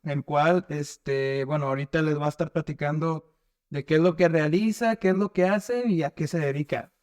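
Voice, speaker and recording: A man's voice: a little high, moderate pace, darkish tone. A man with a Mexican accent chatting casually as a podcast co-host. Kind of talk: casual chat.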